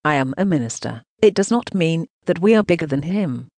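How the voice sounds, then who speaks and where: Robotic news reader voice, choppy, says the punctuation out loud, bouncy pitch, dry sound. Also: lively intonation, fairly quick, no echo. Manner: choppy, reads punctuation marks aloud. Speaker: narrator. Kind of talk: synthetic voice reading translated news text.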